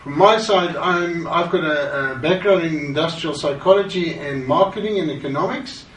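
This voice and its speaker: A man's voice: fairly high pitch, normal speed, a bit bright. A middle-aged man from Africa, co-presenting a course in a conversational way. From South Africa. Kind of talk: course introduction. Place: studio with green-screen backdrop. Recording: roomy, distant, faint hiss.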